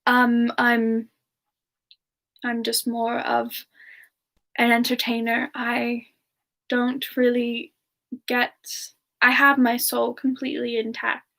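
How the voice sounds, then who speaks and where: A woman voicing a gentle, playful siren entertainer in a soft, hesitant semi-ASMR chat, answering questions in character. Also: fairly high pitch, completely flat delivery, creaky, heavily acted. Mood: playful, teasing. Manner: soft, unhurried, giggly, hesitant.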